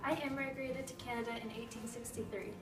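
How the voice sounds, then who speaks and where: A woman reading scripted answers in the role of a historical man, in a plain, steady way. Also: fairly high pitch, fast, noisy background, natural voice. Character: grown man, a historical Canadian figure. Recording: echoey room, far from the mic, music behind.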